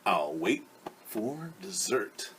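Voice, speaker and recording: A man voicing a sly, smooth-talking hungry wolf who speaks slowly and with oily politeness. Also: lowish voice, very animated, background noise, pitched below the performer's own voice, heavily acted. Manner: slow, oily and menacingly polite.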